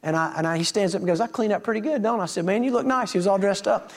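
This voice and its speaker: A man's voice: high voice, racing. A middle-aged man, a preacher telling folksy stories to his congregation and acting out the dialogue. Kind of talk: storytelling sermon to a congregation.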